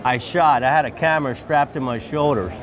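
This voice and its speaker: A man's voice: mid-range voice, brisk, background noise. A man in his forties, a fishing lodge outfitter, telling his story in an enthusiastic, repetitive way during an interview at a trade-show booth, on a lapel mic. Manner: enthusiastic, storytelling, repeats phrases. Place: busy convention hall booth, indoors. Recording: crowd chatter behind.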